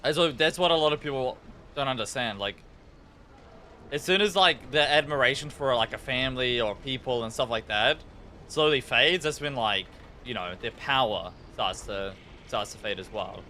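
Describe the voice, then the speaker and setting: A male game streamer with a New Zealand accent and a bright, forceful voice of middling pitch. He talks in a loose, informal, rambling way with plenty of swearing. A faint layer of noise sits behind him. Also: moderate pace. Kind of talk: casual livestream chat while gaming.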